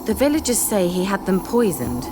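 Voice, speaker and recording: An actress playing a haughty, sly sorceress in a video game, speaking in articulate, formal, dryly condescending phrases. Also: female voice, medium pitch, sing-song, moderate pace, background noise, lightly acted. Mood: reflective. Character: haughty, sly young sorceress posing as village witch. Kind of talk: dramatic video game dialogue. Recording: game music under the voice.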